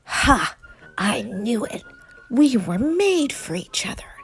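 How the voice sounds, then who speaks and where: A woman voicing a hungry, menacing yet fond male monster in a children's storybook, in a breathy, whispery growl with a teasing, deliberate delivery. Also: on the high side, big pitch swings, faint music behind, voice pushed up, full character voice. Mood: gleeful, hungry.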